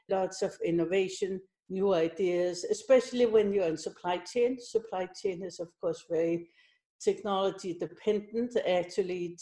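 A woman's voice: lowish voice, warm tone. A middle-aged woman from Denmark, answering interview questions conversationally as a company executive. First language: Danish.

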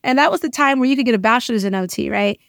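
Expressive, high-voiced woman telling stories. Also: rapid-fire, gravelly, no room sound, studio quality. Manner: expressive, emphatic, often checking in with "right?". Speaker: interview guest, occupational therapist and educator. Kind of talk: animated personal storytelling in a casual interview.

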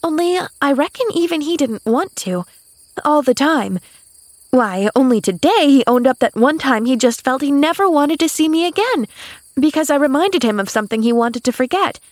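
A woman's voice: high-pitched, animated, moderate pace, dry recording, voice pushed up. A woman voicing a cheerful, earnest, loyal young girl in an audiobook, chattering guilelessly. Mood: happy, earnest. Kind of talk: audiobook character voice.

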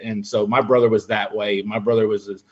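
A man's voice: on the low side, little pitch movement, fairly quick, slightly rough, highs cut off. A man in his forties, a guest angler chatting casually and rambling with lots of fillers. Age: 40s. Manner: laid-back, rambling with fillers. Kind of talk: casual podcast chat.

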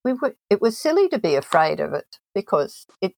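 A woman's voice: medium pitch, sing-song, brisk, vocal fry, darkish tone, low-quality recording. A woman hosting an interview, telling stories in a reflective, conversational way. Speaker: host.

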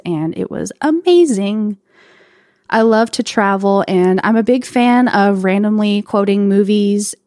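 A bubbly woman in her mid-twenties introduces herself on a podcast, linking one thought to the next with "and". Her pitch sits in the middle range for a woman. The recording is close and dry, with no room echo. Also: darkish tone. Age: 20s. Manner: bubbly, eager, runs sentences together with "and". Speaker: podcast co-host. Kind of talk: casual podcast self-introduction.

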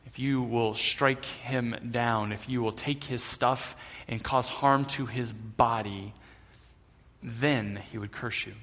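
A man voicing Satan as a scornful male figure arguing before God, low and quiet, with a long hall reverb on the recording. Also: fairly even intonation, slow.

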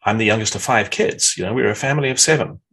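A man's voice: fairly low pitch, rapid-fire, a bit bright, breathy edge. A man, chatting frankly as a podcast guest and telling stories. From South Africa. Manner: frank, reflective storyteller. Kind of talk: casual interview chat.

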